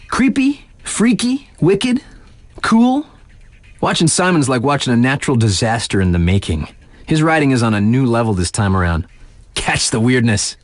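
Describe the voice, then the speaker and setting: A man's voice: medium pitch, no room sound. A man playing a hip, laid-back radio DJ in a video game, giving casual, slangy sports patter with playful conversational asides. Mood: intrigued, hyped. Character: hip, laid-back male radio DJ covering snowboard races.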